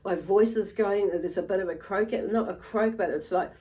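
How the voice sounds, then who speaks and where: A woman giving an unscripted tarot reading to viewers, halting and meandering, often repeating and rephrasing herself as she goes. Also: medium pitch, dark tone, a little hiss or hum. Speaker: tarot reader and channel host.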